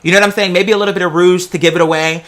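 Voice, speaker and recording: American accent, bright sharp tone, mid pitch, casual vlog chat, sassy, theatrical, digressive, drag slang. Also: fairly even intonation, rapid-fire. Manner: rambling, digressive. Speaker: video creator and host. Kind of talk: casual chatty vlog monologue.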